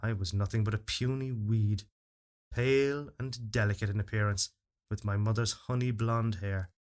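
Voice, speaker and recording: A man reading a literary fairytale as narrator, in a solemn, measured and expressive storytelling delivery. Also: lowish voice. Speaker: story reader. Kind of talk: dramatic literary narration of a first-person fairytale.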